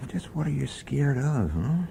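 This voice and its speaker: An actor playing an irritable, sardonic hard-boiled police detective in a radio drama, gruff and impatient. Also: male voice, medium pitch, big pitch swings, normal speed, background noise. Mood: tense, reassuring. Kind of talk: old-time radio drama acting and narration.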